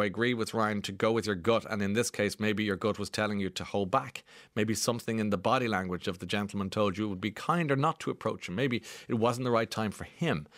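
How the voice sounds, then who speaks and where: A man's voice: lowish voice, no room sound, studio quality. A man hosting a morning radio show, reading listener texts and chatting with a caller. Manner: chatty, warm banter with callers. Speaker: radio host.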